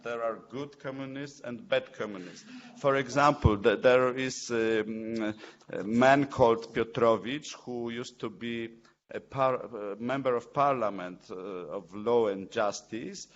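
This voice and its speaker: A man's voice: medium pitch, measured pace, highs cut off. A man whose first language is Polish, a judge speaking English on a panel, hesitant with many fillers and restarts, indignant about his subject.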